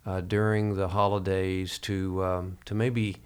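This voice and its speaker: A man hosting a reflective interview, speaking in a halting, measured way. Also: low-pitched, little pitch movement, clean tone. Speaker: podcast host.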